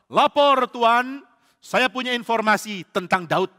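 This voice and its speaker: A man plays a sly foreign informer, keen to please his king. His voice is high and bright, with long hall reverb. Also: lively intonation, slow pace, full character voice. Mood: eager. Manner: eager, fawning. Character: scheming foreign male informer.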